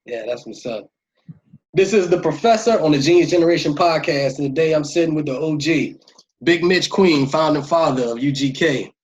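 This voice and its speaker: Dull-sounding, higher-pitched male podcast host. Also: moderate pace, darkish tone, slight background noise. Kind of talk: casual interview chat. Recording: dull, lo-fi voice track.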